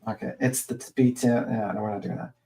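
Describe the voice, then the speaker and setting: A man's voice: on the low side, steady tone, a little fast, gravelly, mellow. A man in casual panel chat who jokes, dodges questions and rambles. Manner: joking, evasive, rambling. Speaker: panel guest.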